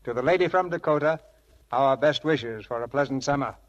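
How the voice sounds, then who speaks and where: A man presenting scripted 1940s radio theatre as host and narrator, with grand, measured showmanship. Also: medium pitch, dull audio. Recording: vintage radio broadcast with music.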